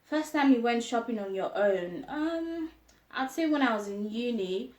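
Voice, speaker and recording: A woman's voice: on the high side, expressive, a little slow, darkish tone. Chatty Nigerian woman in an echoey room. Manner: chatty, laughs often, frequent ums. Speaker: vlogger. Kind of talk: casual chat answering tag questions.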